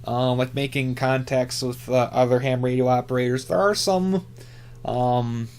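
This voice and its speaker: A man chatting casually and unscripted as a hobby YouTuber, laid-back and rambling, with halting, filler-heavy phrasing. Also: medium pitch, fairly even intonation, darkish tone, clear, resonant tone. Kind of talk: casual unscripted chat, reviewing channels.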